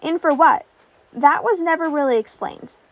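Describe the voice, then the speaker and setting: A woman's voice: high voice, normal speed, a bit bright, dry recording. A woman voicing an earnest, cautious and worried teenage boy hero trainee in a story reading. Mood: worried, hesitant. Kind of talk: podfic character dialogue.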